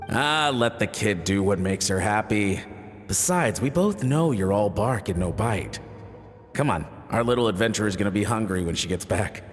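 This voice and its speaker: A man playing an easygoing, indulgent village father in a dubbed anime game, relaxed and gently teasing. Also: on the low side, normal speed, close to an everyday voice. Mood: playful. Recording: long reverb, music behind.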